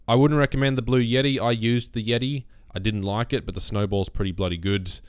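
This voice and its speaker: A young man with a bassy voice explaining his recording setup in a relaxed, rambling way, close on a USB condenser mic on a boom arm. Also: a little fast, smooth, no echo. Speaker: YouTube gaming creator. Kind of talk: casual screen-recorded tutorial.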